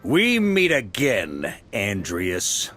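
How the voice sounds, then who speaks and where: An actor playing a confident, easygoing veteran knight grandmaster in a video game. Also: male voice, on the low side, wildly swooping pitch, extremely slow, fairly bright tone, long reverb tail, faint music behind, full character voice. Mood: steady, resolute.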